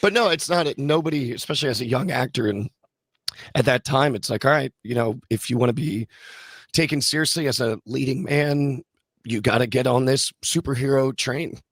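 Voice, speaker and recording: A man's voice: medium pitch, fairly quick, slightly rough, dry recording. A man is a guest on a talk show, answering questions about his past work with wry candor and easy laughs. His voice sits mid-range and sounds close and dry. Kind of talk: casual interview answers.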